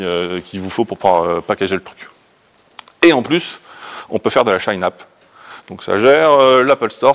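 A man in his thirties with a French accent presents in a lecture room, informally and with self-deprecating jokes. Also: lowish voice. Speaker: conference speaker. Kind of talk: informal tech presentation with live demo.

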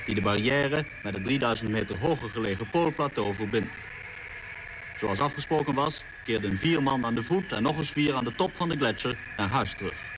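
Male actor as documentary narrator for a radio play, mid-pitched, clearly noisy old recording. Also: natural voice. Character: male narrator chronicling a polar expedition.

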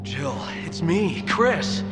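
An actor playing a determined soldier hero in a video game cutscene, demanding answers with urgent intensity. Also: male voice, fairly high pitch, sing-song, extremely slow, heavily acted. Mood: tense. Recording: distant, echoey, loud music behind. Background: loud music.

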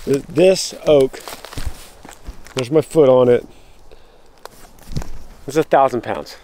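An excitable man walks through rural woods with a handheld camera, talking to his viewers in bursts and restarting his sentences. His voice sits in a middle pitch. Faint outdoor noise stays behind him. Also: takes it slowly. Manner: excitable, breaks off and restarts, addresses viewers as guys. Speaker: vlogger showing storm damage. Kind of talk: excited walking vlog to camera. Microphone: handheld camera while walking.

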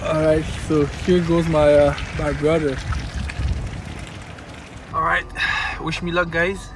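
A man's voice: a little high, little pitch movement, takes it slowly. A man hosting a casual prank vlog from inside a car, talking to the camera and to drive-thru staff. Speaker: prank video host. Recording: noisy car interior, ambient vehicle noise.

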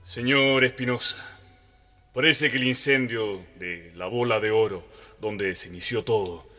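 An actor playing a stern, exasperated judge in a radio drama, formal and authoritative. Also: male voice, fairly low pitch, unhurried, pushed and bright. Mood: formal, puzzled. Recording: music behind the voice.

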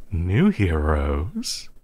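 A man acts out a lovestruck, obsessive villain in an intimate audio roleplay. His low voice swoops theatrically through a slow drawl, prone to giggles. He sounds playfully menacing, yet ready to plead like a devoted lover. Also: a little mellow, husky, no room sound, full character voice.

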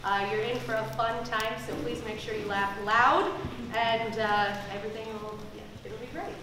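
A woman introducing a play informally as its director, halting and correcting herself. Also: a little high. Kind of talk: informal live announcement. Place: theater stage. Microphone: distant camera in the audience. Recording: echoey noisy theater from far off.

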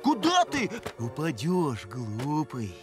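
Big male cartoon creature, fretful, tender, very animated pitch, unhurried, music underneath. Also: full character voice. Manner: fretful, exclaiming, expressive. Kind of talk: children's animated series acting. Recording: studio cartoon dialogue under loud music.

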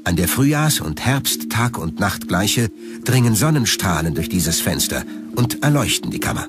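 A man's voice: fairly low pitch, sing-song, moderate pace. Male documentary narrator over music. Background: background music.

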